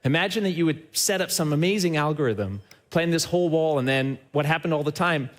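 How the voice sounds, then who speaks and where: A man who heads a company, giving a lively talk on a trade show stage and speaking straight to the audience, often tagging his points with a quick question. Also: fairly high pitch. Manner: upbeat and enthusiastic, often checks in with a tag question; addresses the audience directly. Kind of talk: live conference presentation.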